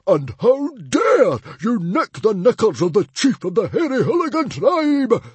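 A man's voice: sits high, very animated, breathy edge, dry recording, pitched above the performer's own voice, full character voice. A man voicing a huge, pompous Viking chief and father in a storybook, blustering and indignant. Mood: furious, bellowing. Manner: bellowing, blustering, pompous, drawn-out indignant delivery. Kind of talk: character voice in storybook narration.

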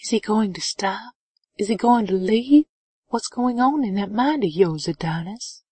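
A woman's voice: medium pitch, unhurried, darkish tone, slightly rough, no room sound, pitched above the performer's own voice. A woman voicing an insecure, emotional young woman, a naive heroine in a romance audiobook. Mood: anxious, questioning. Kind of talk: audiobook character dialogue.

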